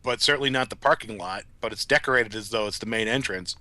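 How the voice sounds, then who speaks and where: Male podcast co-host. Wry, casual, sharp-toned, dry sound. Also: on the low side, quick pace, no echo. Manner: wry, joking. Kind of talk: casual humorous episode discussion.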